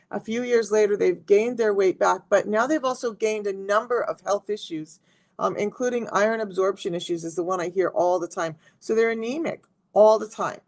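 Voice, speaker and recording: Raspy, gravelly woman live-streaming. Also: mid-range voice. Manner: chatty, talks straight to viewers. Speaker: health coach hosting a live stream. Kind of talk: casual live-stream talk.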